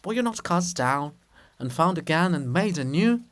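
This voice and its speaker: A man voicing a gentle, wise saint's statue, soothing and rhetorical, in a dramatic reading of a play. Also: fairly high pitch, expressive, normal speed. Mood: earnest comfort.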